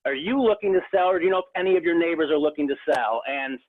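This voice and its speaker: A real estate agent from the Rochester, New York area, talking earnestly in a casual interview, with many restarts. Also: male voice, fairly high pitch, fast talker. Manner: earnest, frequent restarts and fillers. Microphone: phone. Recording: tinny phone line.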